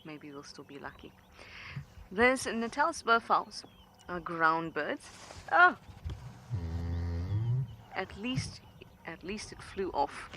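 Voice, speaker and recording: Woman guide on a safari drive, bright voice, kinda whispery and playful, faint bush noise. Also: fairly low pitch, sing-song, takes it slowly, long pauses. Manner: hushed and playful, often near a whisper. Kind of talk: live game drive commentary. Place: outdoors in the bush on a game drive.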